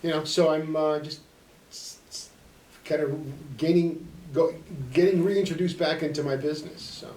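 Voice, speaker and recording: A man's voice: fairly high pitch, steady tone, moderate pace, darkish tone. A middle-aged man, an insurance broker, chatting as a guest in an easygoing, hesitant way with frequent fillers. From Chicago, USA (born in Sicily). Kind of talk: casual interview conversation. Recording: roomy, distant from the mic.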